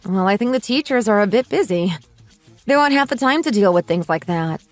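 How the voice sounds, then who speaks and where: A woman's voice: medium pitch, animated, brisk, soft background music, close to an everyday voice. A woman playing a sensible, put-upon mother in her late thirties in a radio drama, polite but firm. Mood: reasonable. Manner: polite, reasonable, mildly exasperated.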